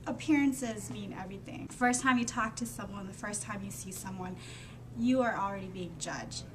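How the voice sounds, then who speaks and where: A twenty-four-year-old woman from Thailand answering interview questions in a measured, thoughtful way. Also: medium pitch. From refugee camp in Thailand. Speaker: interviewee, college graduate. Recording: interview with clear background noise.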